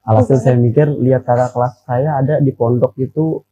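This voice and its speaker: A young man in his twenties, an English tutor, explaining things plainly in a relaxed casual chat. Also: mid-range voice, fairly quick, highs cut off.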